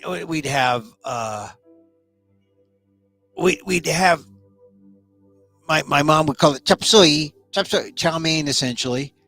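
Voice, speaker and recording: Animated chatty male talk-show host. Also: fairly high pitch, dry recording. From United States. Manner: animated, chatty, rambling with restarts. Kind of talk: casual solo talk show.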